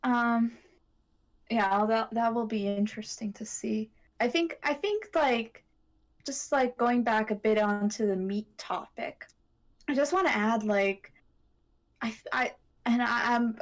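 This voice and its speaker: A woman talks through her ideas in a casual group discussion at a middle pitch. She explains tentatively, qualifying points and circling back with "like" as she goes. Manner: tentative, hedging explanations with frequent "like". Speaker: podcast co-host.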